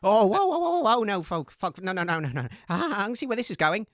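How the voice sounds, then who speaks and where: Comedy drama role: chirpy voice-of-reason presenter, played by a man, extremely animated, close and dry. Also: high voice, fairly quick, no room sound, full character voice. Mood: exasperated. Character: relentlessly cheery, exasperated voice-of-reason radio co-host. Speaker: radio show co-host. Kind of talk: scripted comedy radio drama.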